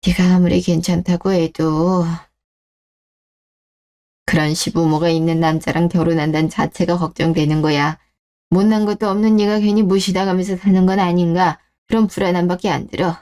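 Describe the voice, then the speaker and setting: A woman voicing a protective middle-aged father in a scripted story-radio drama, frank, measured and cautioning. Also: fairly low pitch, fairly even intonation, mellow, lightly acted. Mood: worried.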